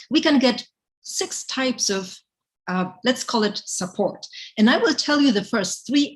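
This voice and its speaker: A woman's voice: medium pitch, poor audio. A woman professor hosting a live online session, upbeat and encouraging, explaining ideas step by step over a video call. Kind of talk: lecturing and moderating introductions.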